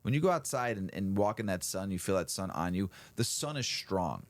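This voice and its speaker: A man co-hosting a casual podcast, rambling and halting with a froggy, slightly hoarse voice. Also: low-pitched, animated, no room sound. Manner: rambling, halting, laughs often. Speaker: podcast co-host.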